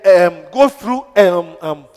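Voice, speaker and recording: A man preaching an animated, exhortatory sermon, repeating short phrases and pausing for responses. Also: high voice. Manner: exhortatory, repetitive, pauses for interpreter and congregation responses. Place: large reverberant room, preaching to congregation. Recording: reverberant hall.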